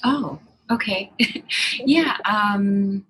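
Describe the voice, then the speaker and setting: A woman's voice: medium pitch, extremely slow, a bit bright, slightly rough, rough recording. A woman from Los Angeles introducing herself as a panel guest, hesitant and full of fillers.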